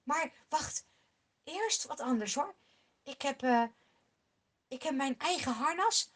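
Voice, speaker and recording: A woman voicing a king in a told Bible story, hesitant and a little halting as he offers his own armour. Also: sits high, expressive, fairly bright tone, heavily acted. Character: older male king, hesitant but generous.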